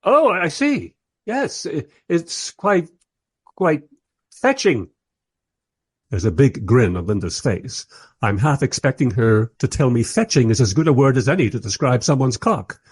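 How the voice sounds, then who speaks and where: An actor playing a polite, squeamish documentary interviewer who narrates in a hesitant, wry manner in a radio play. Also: male voice, medium pitch, sing-song, a little slow, slightly rough, dry recording. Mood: polite, flustered.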